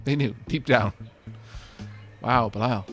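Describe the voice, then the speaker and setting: A man streaming a game, bantering with chat in an animated, rambling, self-deprecating way, with faint music behind. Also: mid-range voice, slow. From Wales.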